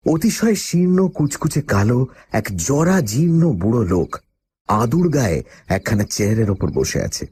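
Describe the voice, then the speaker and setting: A man's voice: on the low side, a little mellow, husky, no room sound, full character voice. A man playing the uneasy first-person narrator of a radio horror story, telling it in a dramatic, suspenseful way. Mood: eerie, descriptive. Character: male first-person narrator, uneasy job-seeker with a dog.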